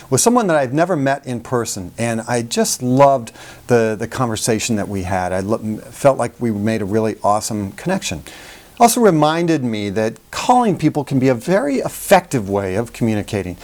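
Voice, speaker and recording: Male, middle-aged, medium pitch. Coach-style presenter, conversational and emphatic, tells anecdotes at his own expense. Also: a little mellow. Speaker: sales communication coach hosting a how-to video. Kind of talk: conversational how-to talk with personal anecdotes.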